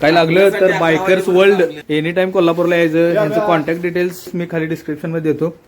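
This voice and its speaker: A man's voice: fairly high pitch, level tone, quick pace, a crowd in the background. A man chatting casually as a vlog host, mixing in English technical words. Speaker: motovlog host. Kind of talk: casual vlog chat and product explanation.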